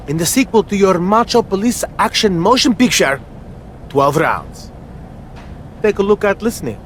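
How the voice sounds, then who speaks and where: A vain clown of a wrestler for a video game. The voice is very high and swoops around, full of pompous boasts in broken English, with faint noise behind it. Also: male voice. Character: vain, comically boastful male wrestler with movie-star ambitions. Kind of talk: comic video game cutscene acting.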